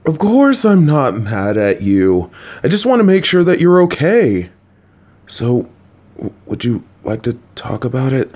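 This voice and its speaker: A man voicing a kind, worried, clueless father who talks to his child in a gentle, patient, anxious, rambling way. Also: mid-range voice, very animated, measured pace. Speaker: voice actor. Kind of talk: audio roleplay for a listener.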